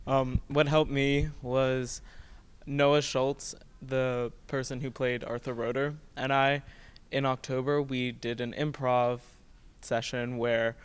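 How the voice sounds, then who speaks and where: A teenage male student actor with an American accent, explaining his process in a measured, conversational way during an interview. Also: medium pitch, flat delivery, clear, resonant tone. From United States. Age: teens.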